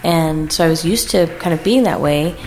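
Female, American, low register, casual comic storyteller with stop-start delivery, music underneath. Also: darkish tone. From US East Coast. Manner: halting, self-interrupting. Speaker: improv comedy troupe guest storyteller. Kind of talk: casual humorous anecdote.

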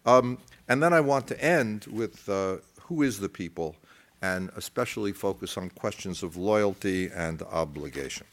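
A man giving an academic lecture, halting and discursive, circling back with stammered restarts and putting deliberate stress on key phrases. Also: low-pitched, lively intonation.

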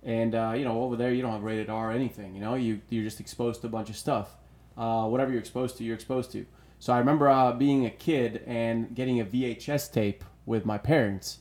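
A man's voice: mid-range voice, fairly even intonation, fairly quick, a little mellow. Emphatic man in his thirties from Bulgaria. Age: 30s. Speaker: podcast guest. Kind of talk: casual chat, personal storytelling.

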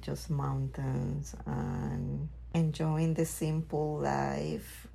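Middle-aged woman from Puerto Rico, low husky voice, slow wistful storytelling. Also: dark tone. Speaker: interviewee, mother. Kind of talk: reflective personal storytelling.

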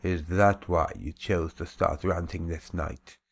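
A man's voice: low voice, steady tone, a little slow, vocal fry, breathy edge, exaggerated voice. A man voicing a scornful male thug who mocks an old man. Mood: mocking. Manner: mocking.

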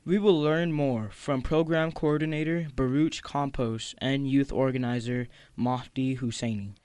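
A man's voice: mid-range voice, fairly even intonation, unhurried, darkish tone, clear, resonant tone, dry recording. Man reading radio show intros. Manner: reads scripted intros. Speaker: radio host. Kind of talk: scripted radio announcement.

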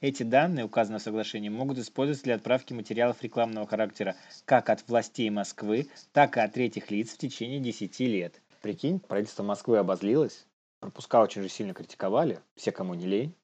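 A man's voice: fairly low pitch, quick pace, darkish tone. A man co-hosting a news commentary show, reading items and joking casually. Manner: joking, laughs often.

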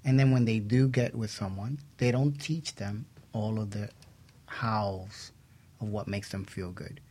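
Husky-voiced man in discussion. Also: on the low side, steady tone, unhurried, dark tone. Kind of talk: measured advice-giving conversation.

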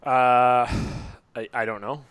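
A man's voice: medium pitch, fairly even intonation, slow pace, a bit bright, smooth. A man co-hosting a podcast in casual banter, sardonic and free with swearing. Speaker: podcast co-host.

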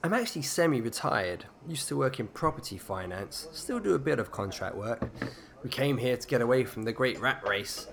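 A man's voice: medium pitch, very animated, normal speed, vocal fry, a bit bright, a little hiss or hum. An actor playing a polite, curious semi-retired man new to a village, hesitant and inquisitive, in audio drama dialogue.

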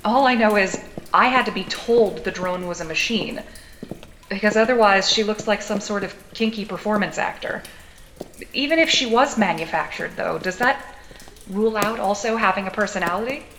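A woman's voice: mid-range voice, moderate pace, a bit bright, close to an everyday voice. Actress doing a tough wry woman in a cyberpunk audio play, teasing and nosy, really echoey, bit noisy. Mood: teasing, thoughtful. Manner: sardonic, probing, playful. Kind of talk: naturalistic audio drama acting. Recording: echoey sewer-like space, background noise.

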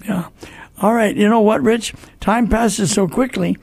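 A man's voice: high voice, steady tone, a little slow, creaky, over music. An older American man hosting a radio program, talking casually and folksily, repeating phrases for emphasis. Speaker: radio host. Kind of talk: casual radio talk with co-host.

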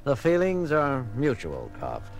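Space captain in an audio drama, dry and in charge, animated pitch, music behind. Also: male voice, unhurried, creaky, exaggerated voice. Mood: dryly cordial. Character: confident, wry male starship captain. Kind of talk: science fiction audio drama acting.

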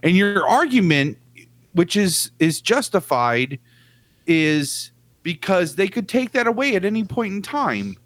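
A man's voice: high voice, big pitch swings, measured pace, a bit bright, dry recording. Emphatic casual podcast man. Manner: emphatic, swears casually, hedges with "like" and "you know". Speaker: podcast co-host. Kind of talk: casual chat.

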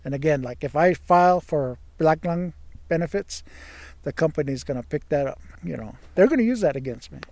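A former coal miner, a man with a hoarse, wheezy voice, speaking plainly and earnestly in an interview clip. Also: fairly high pitch, expressive, fairly quick, darkish tone, no room sound. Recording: outdoor field recording with traffic noise.

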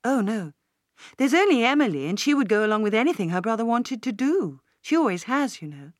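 A woman narrator plays a decisive businesswoman in an audiobook. She speaks with crisp assurance and a disdainful edge. The sound is close and dry. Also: a little high, big pitch swings, a little fast, dry recording, voice pushed up. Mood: assured, emphatic. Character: poised, decisive businesswoman heir, firm and disdainful. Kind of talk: audiobook character dialogue.

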